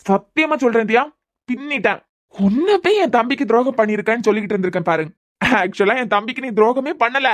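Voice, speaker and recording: A woman's voice: on the high side, natural voice. Woman doing a bitter, sarcastic young husband. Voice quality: sarcastic, bitter, repeating words when angry. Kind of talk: amateur audio drama.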